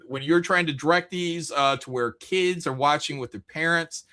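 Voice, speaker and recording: A man's voice: fairly high pitch, moderate pace, bright, forceful tone, clean tone. A middle-aged man talking casually and emphatically in an opinionated pop culture debate, swearing and repeating his points. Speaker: livestream host.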